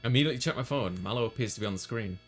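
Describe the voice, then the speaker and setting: A man with a mid-range voice hosts a gaming video. He moves between relaxed casual chat and reading story lines aloud, with faint music underneath. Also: medium pitch, rapid-fire. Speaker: host, gamer reading player character lines. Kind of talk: casual let's play chat and read-aloud.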